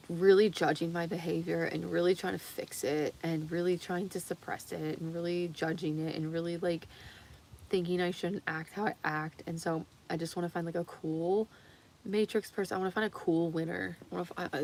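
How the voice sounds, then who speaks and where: A woman in her thirties rambling excitedly in a casual, confessional livestream style, giggly and sweary, her voice a little strained from long talking. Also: lowish voice. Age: 30s.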